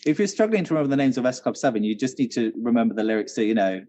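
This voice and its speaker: Mellow, lively man hosting a quiz, roomy sound. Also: mid-range voice, very fast. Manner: chatty, repeats questions clearly for listeners. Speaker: quiz host, theatre venue manager. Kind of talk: casual live quiz hosting with banter.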